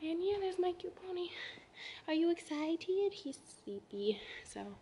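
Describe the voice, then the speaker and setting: A woman's voice: extremely high pitch, very animated, measured pace, warm tone, a little hiss or hum. A teenage girl chatting casually to a handheld phone camera at a barn, full of fillers and often dropping to a whisper. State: sweaty and out of breath after riding. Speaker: vlogger. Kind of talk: casual vlog chat. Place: indoor riding arena and barn. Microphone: phone camera at arm's length.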